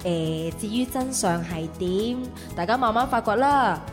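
A woman's voice: medium pitch, lively intonation, measured pace, natural voice. A woman playing a bubbly, optimistic young artist's assistant in a radio drama monologue, chirpy and self-assured. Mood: bright, confident. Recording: long reverb, music behind.

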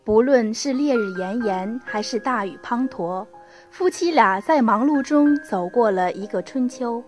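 A woman's voice: on the high side, expressive, measured pace. A young woman reading scripted storytelling narration for a student radio drama. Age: young adult. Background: music.

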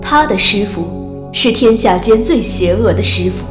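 A woman narrating a wuxia audio drama as a scripted story, solemn and measured. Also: mid-range voice, expressive, close to an everyday voice. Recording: roomy reverb with music behind.

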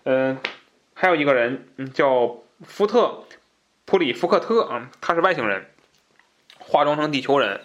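A man with a mid-pitched voice presents as a podcast host. He explains in an informal, conversational manner, wandering into asides and repeating phrases to drive points home. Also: lively intonation, normal speed, a bit bright, highs cut off. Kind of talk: informal explanatory book talk.

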